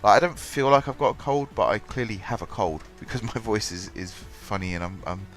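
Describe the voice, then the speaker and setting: A man's voice: lowish voice, brisk. A man with a cold and a stuffy, bunged-up voice, rambling through casual commentary on his game with lots of ums and restarts. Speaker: gaming channel host. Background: faint background music.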